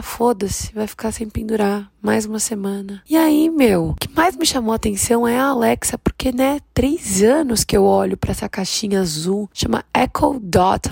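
A woman's voice: medium pitch, creaky, a little mellow, husky, no echo, clean studio sound. A woman with a Brazilian Portuguese accent giving a casual, confessional solo monologue in an informal, self-mocking way, slangy and dropping in English words. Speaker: solo podcast host.

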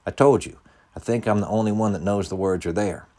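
A man's voice: lowish voice, fairly quick, mellow, close to an everyday voice. A man voicing a kind, folksy woman cashier in an audiobook story, speaking with homespun turns of phrase. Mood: warm, wondering.

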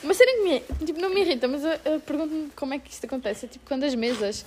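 Very high-pitched, quick young Portuguese woman. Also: expressive, a little mellow, a little hiss or hum. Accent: European Portuguese. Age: teens to young adult. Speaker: podcast co-host, student. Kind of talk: casual group chat podcast.

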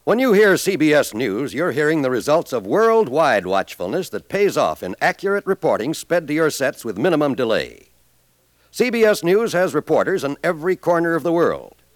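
A man's voice: on the high side, big pitch swings, moderate pace, no room sound, studio quality. An American man announcing scripted network radio copy in a formal, polished 1950s style.

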